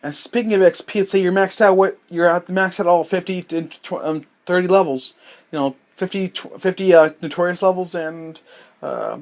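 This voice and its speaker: Young guy, a little high-voiced, sick, recording on a handheld device; halting, rambling delivery with lots of fillers. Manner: halting, many fillers and self-corrections. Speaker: gaming vlogger. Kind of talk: rambling unscripted monologue. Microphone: handheld device mic.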